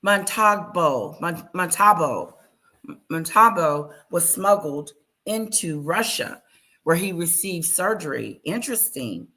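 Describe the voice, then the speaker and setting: A woman hosting a casual live stream, chatting and greeting viewers by name in a loud voice. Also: lowish voice, lively intonation, takes it slowly. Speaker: livestream host reacting to news clips.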